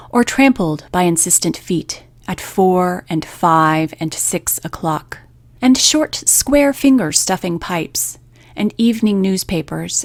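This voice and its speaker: A woman hosting a poetry radio program, reading from a script and reciting verse with measured, deliberate phrasing. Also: lowish voice, no room sound.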